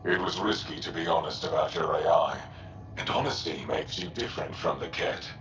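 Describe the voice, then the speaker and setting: A man's voice: extremely low pitch, normal speed, fairly bright tone. An actor playing a stern, guarded alien resistance commander in a video game, curt and formal in his delivery. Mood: conceding. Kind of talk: cinematic video game acting. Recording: roomy, dull-toned game audio with faint music.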